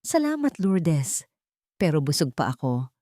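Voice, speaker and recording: A woman voicing a betrayed wife in an audio drama, measured and quiet, feigning meekness over calm defiance. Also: low voice, no echo, clean studio sound. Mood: meek.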